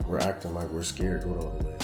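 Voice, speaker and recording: Young male gaming commentator, losing his voice, very low pitch, casual slang; roomy echo with background music. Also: quick pace. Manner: casual, slangy, frustrated and animated. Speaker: gaming YouTuber. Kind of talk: gameplay commentary.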